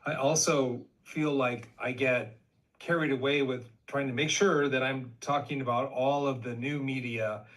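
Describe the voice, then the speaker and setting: A man lectures over a video call, feeling his way through open research questions with frequent restarts. His voice is at a middling pitch and plain. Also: fairly even intonation, a little slow, a bit bright, rough recording. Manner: thoughtful, searching for words, many restarts. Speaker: guest lecturer. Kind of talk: academic lecture.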